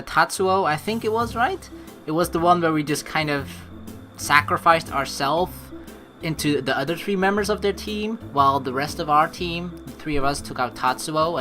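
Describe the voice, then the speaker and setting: A man giving casual, rambling gameplay commentary and reading dialogue aloud, excitable, informal and sweary. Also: fairly high pitch, normal speed. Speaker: let's player. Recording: game music behind the voice. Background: game music.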